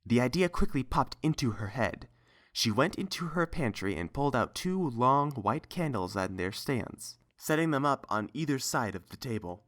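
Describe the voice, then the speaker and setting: This is a storyteller reading scripted fiction as an audiobook. The delivery is measured, with a lively, varied melody in the pitch. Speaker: narrator and reader.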